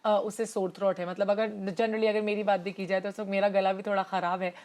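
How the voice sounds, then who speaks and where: Woman host, mid-pitched, brisk and prompting. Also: level tone, darkish tone. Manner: brisk prompting with frequent "hmm" backchannels. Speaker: health show host. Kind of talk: interview hosting.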